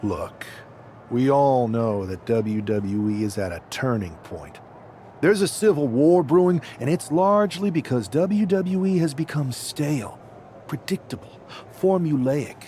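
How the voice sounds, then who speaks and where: A man's voice: medium pitch, big pitch swings, measured pace, warm tone, a little hiss or hum. A man playing an ambitious newcomer pro wrestler and scheming rebel in a video game cutscene, speaking earnestly and with emphasis. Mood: defiant, emphatic.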